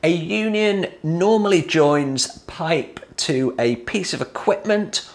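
A man in his forties explains hot tub pipe fittings to camera, taking them one at a time and lifting his voice at each new heading. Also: slow pace. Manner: plain step-by-step, lifts pitch on each new heading.

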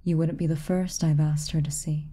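A woman voicing a haughty, reclusive tsundere witch in a soft ASMR roleplay, aloof and commanding, at times dropping near a whisper. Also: sits low, fairly even intonation, moderate pace. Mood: brusque, kind. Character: haughty, reclusive tsundere witch, stern but secretly kind.

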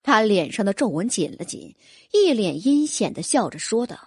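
A woman's voice: mid-range voice, big pitch swings, moderate pace, slightly rough, no room sound, clean studio sound. A woman narrating a fantasy audiobook in a dramatic, scripted storytelling style.

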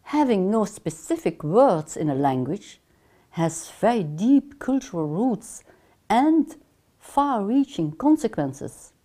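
An older woman with a Dutch accent, a native Dutch speaker, giving a measured, deliberate online course lecture while seated in a small room. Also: medium pitch, mellow. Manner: measured, deliberate, gestures with her hands. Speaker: counseling lecturer. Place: small lamp-lit room, seated in armchair.

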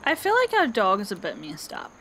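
A woman's voice: medium pitch, huge pitch swings, a little fast, vocal fry, sharp tone, a little hiss or hum. A woman playing a game with a friend, chatting casually with wry, playful asides. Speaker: game player. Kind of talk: casual co-op gameplay chat.

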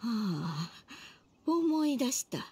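An actress voicing a frail, gentle old grandmother who speaks in an old-fashioned way, heard as game audio. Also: a little high, big pitch swings, drawn-out words, breathy edge, heavily acted. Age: older. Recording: game audio with music underneath.

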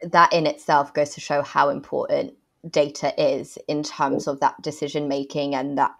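A woman's voice: sits low, level tone, normal speed, creaky. A young woman interviewing a guest over a video call, summing up his points and asking follow-ups. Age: young adult. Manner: engaged, sums up and builds on answers. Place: small room at home, indoors. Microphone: earphone mic on a video call.

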